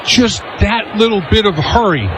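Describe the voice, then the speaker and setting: A man's voice: high-pitched, animated, moderate pace, crowd noise, background noise. A man giving conversational, explanatory football analysis as a radio color commentator.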